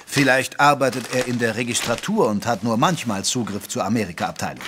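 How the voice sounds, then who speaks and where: Male actor as detective's gentlemanly chronicler, mid-pitched, articulate audio drama narration. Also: background noise, lightly acted. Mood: questioning. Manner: measured, articulate storytelling.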